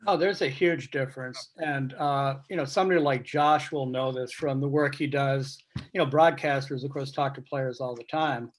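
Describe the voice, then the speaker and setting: A man speaking as a guest sportswriter in an informal panel discussion over a video call, explaining things in a measured way. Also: mid-range voice, fairly even intonation. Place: virtual meeting on video call.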